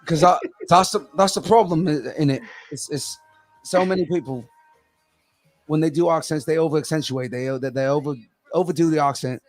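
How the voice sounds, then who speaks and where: A man with a hoarse, cracking voice, chatting casually and emphatically as a co-host. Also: on the high side, normal speed. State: hoarse, losing his voice. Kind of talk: casual chat. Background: music.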